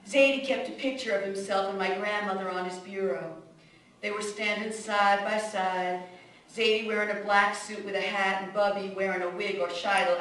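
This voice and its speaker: A woman's voice: mid-range voice, fairly bright tone, slight background noise, lightly acted. A woman playing a blunt immigrant mother, echoey. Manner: plainspoken, colloquial storytelling with curt commands. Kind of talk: radio drama monologue. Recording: echoey room, distant microphone.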